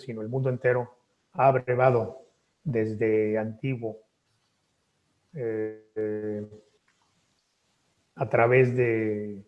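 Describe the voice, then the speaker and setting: Man, poet, slow deliberate delivery, thoughtful, hesitant pauses. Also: medium pitch, darkish tone, highs cut off. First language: Spanish. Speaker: poet and author. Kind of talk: reflective talk at a book presentation.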